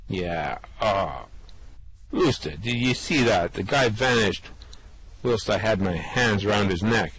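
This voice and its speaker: A man's voice: fairly low pitch, expressive, slow, a bit bright, slight background noise. A man playing a brash, laid-back male space adventurer who talks in a slangy, cocky drawl in an amateur radio-play reading.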